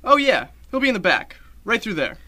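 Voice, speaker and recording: A man's voice: high voice, sing-song, a little fast, pushed and bright, no echo, full character voice. A young man playing a curt, dismissive man in a 1930s noir radio drama. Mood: brusque. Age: young adult. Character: brusque, dismissive man, haughty boss or busy bartender.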